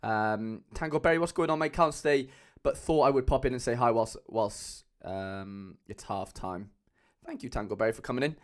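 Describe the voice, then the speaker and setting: A young man with a Cardiff accent chatting casually as a livestream host, loose and rambling with lots of fillers, speaking into a mic on a boom arm at home. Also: moderate pace, few pauses. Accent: Cardiff Welsh. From Wales. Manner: chatty, loose, many fillers, calls viewers lads.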